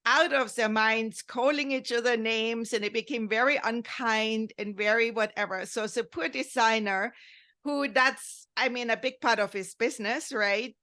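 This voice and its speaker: A woman's voice: a little high, moderate pace, pushed and bright. A woman from Germany with a German accent, telling a story in earnest, rambling podcast talk and often checking in with "right?". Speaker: podcast host.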